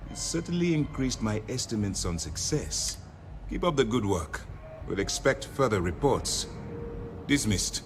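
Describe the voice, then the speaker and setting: A man's voice: lowish voice, sing-song, measured pace, faint music behind. A man playing a stern, formal space navy commander giving orders in a scripted video game. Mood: brisk, commanding. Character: stern, authoritative male space navy commander briefing an agent.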